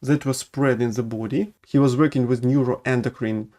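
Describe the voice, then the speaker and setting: Non-native English-speaking male doctor, halting explainer with many "uh"s, mid-range voice. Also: medium pitch, fairly even intonation, dark tone. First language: not English. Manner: hesitant, many fillers and restarts. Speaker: oncologist. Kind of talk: educational medical explainer.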